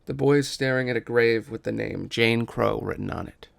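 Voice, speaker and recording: A man's voice: medium pitch, normal speed, creaky, no echo. A man reading scripted stage directions as the narrator of a musical.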